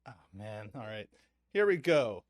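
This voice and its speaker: Laughing, lively-voiced male podcast host. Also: lowish voice, unhurried, no echo. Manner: laughing, self-deprecating, stumbling. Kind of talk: casual podcast chat.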